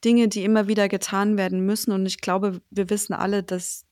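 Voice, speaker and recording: A woman's voice: medium pitch, level tone, a little fast, darkish tone, dry recording, clean studio sound. A woman hosting a podcast interview, asking questions in a friendly way and affirming with short yeahs.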